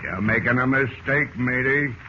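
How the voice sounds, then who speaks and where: A man's voice: medium pitch, moderate pace, natural voice. An actor playing a brash, threatening peg-legged seaman with salty seafaring talk in a radio drama. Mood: menacing. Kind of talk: 1940s radio drama acting. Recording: muffled, noisy old radio recording.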